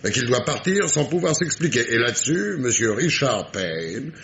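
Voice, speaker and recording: An actor playing a sardonic, dogged police inspector in a French radio mystery play, with ironic, emphatic diction. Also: male voice, medium pitch, big pitch swings, moderate pace, vocal fry, a bit bright, a little hiss or hum. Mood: sardonic, exasperated.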